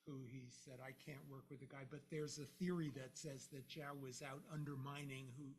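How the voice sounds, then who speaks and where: A man moderating the question session after a lecture, brisk as he manages the time. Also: medium pitch, fairly even intonation, darkish tone. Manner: brisk, brings the session to a close. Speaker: event moderator, professor. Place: lecture room.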